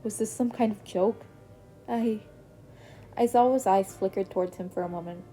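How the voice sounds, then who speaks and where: A woman narrating a fan fiction podfic in a steady, even way. Her voice is mellow and sits at a middling pitch, with faint music in the background. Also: a little fast. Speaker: podfic reader. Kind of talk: reading fan fiction aloud.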